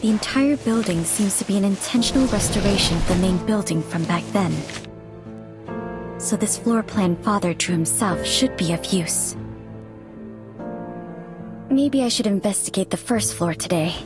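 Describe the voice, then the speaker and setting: A woman playing a reserved, watchful young investigator in a video game, speaking in a deliberate, guarded way. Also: medium pitch, animated, close to an everyday voice. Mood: pensive, resolute. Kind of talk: scripted video game dialogue and monologue. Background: music.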